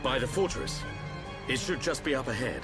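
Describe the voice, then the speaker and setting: An actor playing a calm, measured, seasoned swordsman in a video game cutscene. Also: mid-range voice, music in the background, close to an everyday voice.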